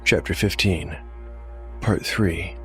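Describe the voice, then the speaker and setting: A man's voice: deep voice, slow, bright, forceful tone. A man narrating a solo tabletop fantasy game as game master, in a dramatic storytelling style. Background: prominent music.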